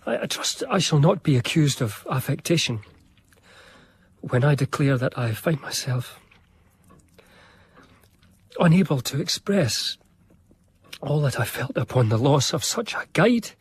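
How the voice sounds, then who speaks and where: An actor playing a devoted, melancholy eighteenth-century gentleman biographer, narrating in earnest, literary first person. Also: a little high, lively intonation, measured pace, pauses often, husky, exaggerated voice. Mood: grieving.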